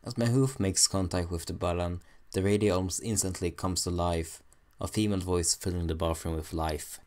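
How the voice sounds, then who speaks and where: Very deep-voiced hesitant male reader. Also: fairly even intonation, normal speed, a little mellow. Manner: hesitant, self-deprecating, stumbling reading. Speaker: amateur story reader. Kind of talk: fan fiction reading.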